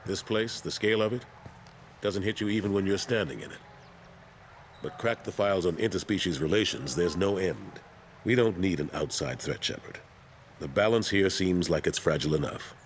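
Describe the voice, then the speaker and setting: A man's voice: low-pitched, lively intonation, moderate pace, slight background noise. A man playing a loyal, weary older military statesman in a sci-fi video game. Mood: weary, reflective.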